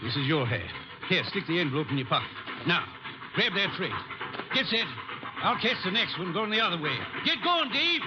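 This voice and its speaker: An actor playing a cold-blooded crooked card sharp, clipped and bossy, in old radio drama style. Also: male voice, high voice, big pitch swings, a little fast. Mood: urgent, bossy. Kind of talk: 1950s radio drama acting. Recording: muffled old radio recording.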